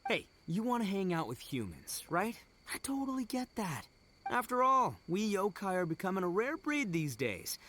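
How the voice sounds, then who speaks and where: A man's voice: high voice, wildly swooping pitch, normal speed, pushed and bright, slight background noise, exaggerated voice. A man voicing a brash, boastful young oni with a big heart in a video game, talking in brotherly slang. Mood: friendly, boastful.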